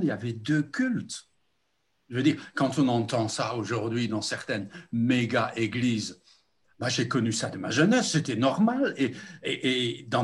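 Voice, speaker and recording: An older man preaching a sermon as a pastor, earnest and reflective, with frequent hesitations. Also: medium pitch. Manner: slow, deliberate, with frequent hesitations.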